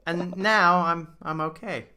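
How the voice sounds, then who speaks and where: A man's voice: high voice, steady tone, a little slow, bright, forceful tone. A man in his thirties hosting an interview show, chatting casually and giving upbeat, motivational talk aimed at viewers. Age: 30s. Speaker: podcast host.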